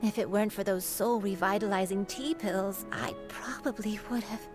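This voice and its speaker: A woman voicing an earnest, remorseful young crane disciple in a video game, solemn, reflective and halting. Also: mid-range voice, fairly even intonation, over music, close to an everyday voice. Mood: remorseful, wistful. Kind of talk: dramatic video game voice acting.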